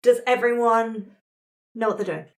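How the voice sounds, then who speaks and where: A woman's voice: fairly high pitch, fairly even intonation, moderate pace. A woman explaining game rules conversationally as facilitator, checking in with her group of players.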